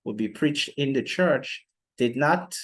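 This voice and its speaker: Young man lecturing formally, with deliberate pauses. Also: medium pitch, expressive, fairly bright tone. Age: young adult. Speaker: literature teacher presenting a lesson. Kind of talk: explanatory lecture to camera. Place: indoor room, seated before a plain wall.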